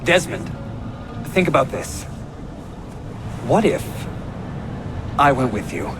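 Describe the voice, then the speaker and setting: A wistful, desperate captive in a game cutscene. His mid-pitched voice is slow and halting, with animated swings in pitch and music behind it. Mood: pleading. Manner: halting, earnest. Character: lonely, desperate trapped man, pleading and wistful. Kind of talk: video game cutscene acting.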